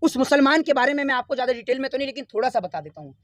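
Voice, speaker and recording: A man's voice: very high-pitched, animated, rapid-fire, a bit bright, no room sound. A man arguing with heat and conviction, firing rhetorical questions and lecturing his listener. The recording is dry and close, with no room sound. He keeps driving his points home. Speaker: interviewee. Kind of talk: impassioned political argument.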